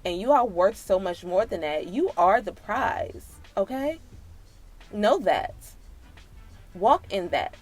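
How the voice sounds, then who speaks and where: A woman's voice: mid-range voice, animated, slow pace, soft background music. An American woman telling a personal story and giving advice in a casual, slangy, emphatic way, speaking straight to her listeners. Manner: emphatic, slangy, sisterly direct address to listeners. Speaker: storytelling vlogger.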